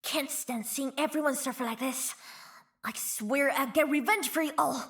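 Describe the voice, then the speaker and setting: A woman voicing a brash, scrappy young female gang leader in a video game, cocky and boastful, hushed and wistful when she remembers. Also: high voice, moderate pace. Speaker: voice actor. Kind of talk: video game character voice lines.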